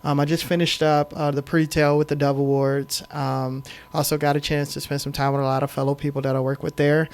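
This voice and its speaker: A middle-aged man telling his story as a podcast guest, emphatic and animated, with frequent "um"s. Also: fairly high pitch, brisk, few pauses. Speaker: podcast guest, film director. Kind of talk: casual storytelling interview.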